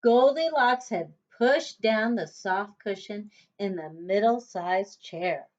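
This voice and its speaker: A woman reading a children's story aloud as a teacher would, in a deliberate storytelling manner with emphatic contrasts. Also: mid-range voice, darkish tone. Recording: dull, lo-fi recording.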